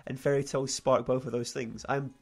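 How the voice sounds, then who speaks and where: A man's voice: mid-range voice, level tone, a little fast, gravelly. Playful man hosting a casual panel chat. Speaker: radio talk show host.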